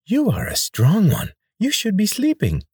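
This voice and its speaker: A man voices a pudgy, oily healer from a storybook, his nasal voice squeaking high above the narrator's. He wheedles and coaxes, and his voice comes across a little breathy. The sound is dry and close. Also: huge pitch swings, unhurried, darkish tone, dry recording, full character voice. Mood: wheedling.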